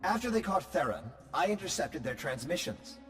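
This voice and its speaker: A man's voice: high voice, sing-song, normal speed, music in the background. A man voicing a fussy, chatty droid in a video game, prim, overly polite and cheerfully tactless. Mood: eager, proud. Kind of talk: video game cutscene acting.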